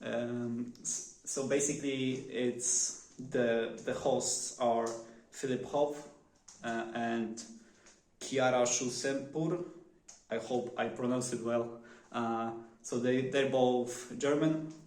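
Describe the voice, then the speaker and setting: A man with a Polish accent, talking off the cuff in a one-take vlog, pausing often with fillers and restarting his sentences. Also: mid-range voice, steady tone, a little mellow, reverberant, low-quality recording. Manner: hesitant, many fillers and restarts. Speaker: vlogger. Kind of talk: unscripted one-take monologue. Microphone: phone.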